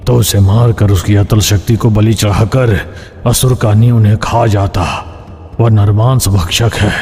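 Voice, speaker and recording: A man's voice: on the low side, mellow. A man voicing a defiant severed ghostly hand that serves a demon, taunting and explaining in a horror cartoon. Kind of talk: Hindi horror cartoon dialogue. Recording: long hall reverb, background noise.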